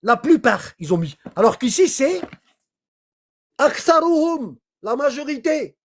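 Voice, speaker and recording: Animated livestreamer explaining scripture. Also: sits high, measured pace. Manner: animated, acts out little dialogues, keeps checking in with "hein?" and "d'accord?". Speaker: livestreamer explaining Quran verses. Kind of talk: casual livestream commentary.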